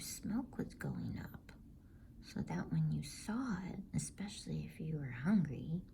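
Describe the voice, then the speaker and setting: Woman whispering a bedtime story asmr style, low gravelly softness, bit of noise behind. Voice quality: breathy unvoiced whisper. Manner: soothing, hushed, with deliberate pauses. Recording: faint background noise.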